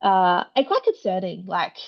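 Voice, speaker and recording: A woman's voice: fairly low pitch, sing-song, moderate pace, gravelly, lo-fi sound. A young Australian woman chatting as a guest author in an interview, full of fillers and quoted asides. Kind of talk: casual interview chat.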